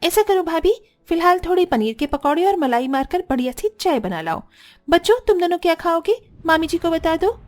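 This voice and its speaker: High-pitched woman acting a haughty young sister-in-law, demanding, scolding, cartoon family drama. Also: big pitch swings, fairly quick, a little mellow, soft background music. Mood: bossy.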